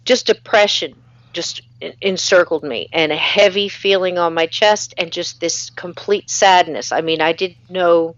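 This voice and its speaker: A woman with a slightly low voice hosts a casual live stream, chatting in a friendly way and filling her pauses with ums and uhs. She reads viewer comments aloud. The audio sounds dull and dry, as if it came over an online call. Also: steady tone, dry recording. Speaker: live-show host.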